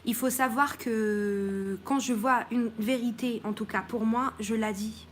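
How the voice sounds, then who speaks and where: A high-pitched woman, from Morocco, holds forth in a live-stream monologue. She speaks her mind without softening it, pausing on fillers between points and breaking off to greet viewers. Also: steady tone, slight background noise. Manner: outspoken and blunt, with many hesitations.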